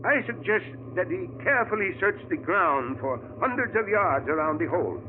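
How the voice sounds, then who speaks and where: An actor playing a learned, determined professor who speaks formally and stiffly, in 1940s radio acting. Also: male voice, high-pitched, sing-song, moderate pace, noisy background. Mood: earnest. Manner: formal, stiff phrasing without contractions. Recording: tinny old radio broadcast.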